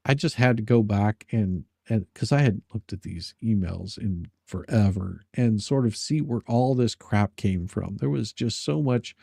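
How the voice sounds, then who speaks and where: Male livestream host, dark mellow tone, creaky, rambling, crude joking, casual chat. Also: on the low side, a little slow, hardly any pauses, no echo, studio quality.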